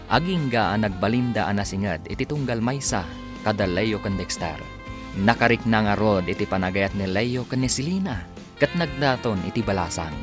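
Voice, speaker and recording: Music plays clearly behind a male announcer with an Ilocano accent. He narrates a radio serial dramatically, his medium-pitched voice sweeping up and down.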